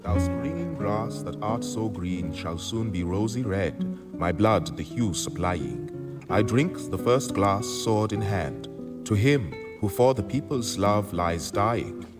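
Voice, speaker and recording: Slow, solemn verse reciter. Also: male voice, on the low side, expressive. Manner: slow, declamatory. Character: solemn revolutionary poetry reciter at a gathering. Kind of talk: verse recitation in radio drama. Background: loud plucked guitar.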